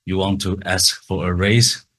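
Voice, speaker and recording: A man with a very low voice, speaking slowly and carefully in English. His is Chinese, and he stutters, repeating words and the starts of sounds. He is giving a talk at a conference. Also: fairly even intonation, fairly bright tone. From Taiwan. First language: Mandarin Chinese.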